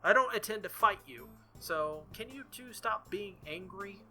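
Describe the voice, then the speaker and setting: A man's voice: high-pitched, lively intonation, moderate pace, sharp tone, soft background music, voice pushed up, heavily acted. A man voicing a playful, haughty, teasing little girl from a visual novel. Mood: haughty, mock embarrassed. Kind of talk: visual novel character read-aloud.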